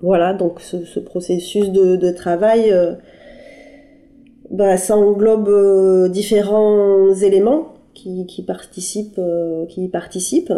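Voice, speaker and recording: A woman artist answering questions in a halting, conversational way, with many fillers and restarts. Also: mid-range voice, steady tone, dark tone. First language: French. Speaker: interviewed artist. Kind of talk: hesitant conversational interview. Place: her apartment.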